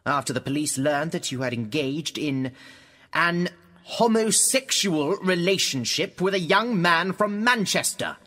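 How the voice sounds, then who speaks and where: An actor with a British accent playing smug establishment interrogators in a radio drama, condescending and insinuating. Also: male voice, a little high, lively intonation, unhurried, bright, forceful tone, heavily acted. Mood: stern, formal. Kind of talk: theatrical radio drama dialogue.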